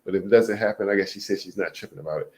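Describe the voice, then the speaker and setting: A man's voice: low-pitched, very fast, a little mellow. A man in his early forties talking frankly in a rambling casual monologue, full of fillers and swearing. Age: 40s. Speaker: vlogger.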